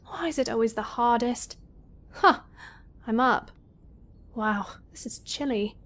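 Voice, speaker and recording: A woman's voice: on the high side, slow pace, fairly bright tone. A woman playing a sardonic, self-critical seventeen-year-old college girl in an audio drama, with a wry, grumbling inner monologue. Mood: groggy, wry.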